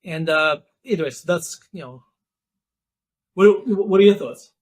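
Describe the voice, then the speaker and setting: A man in his fifties, a podcast guest explaining ideas thoughtfully with many pauses and restarts. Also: high voice, no echo. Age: 50s. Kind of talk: interview conversation.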